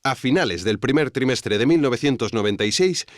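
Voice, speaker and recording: An actor playing an earnest, self-satisfied investigative podcast host, narrating a mock documentary. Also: male voice, medium pitch, animated, brisk, no echo, clean studio sound, natural voice. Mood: earnest, intrigued.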